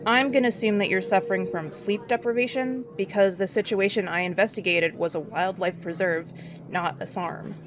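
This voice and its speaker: A woman's voice: medium pitch, steady tone, a crowd in the background, natural voice. An actress playing an inquisitive female friend who fires off questions and doubts what she hears. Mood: skeptical. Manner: inquisitive, quick questions, skeptical. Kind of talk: audio drama acting. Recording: loud background noise.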